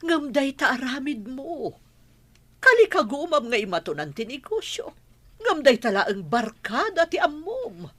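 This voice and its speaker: A woman's voice: high-pitched, big pitch swings, a little slow, a bit bright, no echo, exaggerated voice. A woman playing a stern, exasperated mother of a grown son, scolding emphatically in an Ilocano radio drama.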